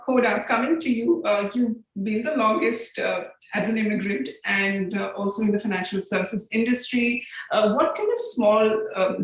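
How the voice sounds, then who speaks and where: A woman moderating a virtual panel, asking questions hesitantly with many fillers over a video call. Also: mid-range voice. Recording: very echoey room.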